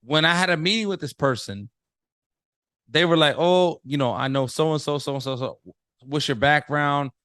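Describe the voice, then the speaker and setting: A man hosting a podcast, telling a story in a measured way, with pauses and fillers. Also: a little high, a bit bright, clear, resonant tone, no echo, clean studio sound. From Puerto Rican and Italian descent. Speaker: podcast host. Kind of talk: casual discussion, telling a story.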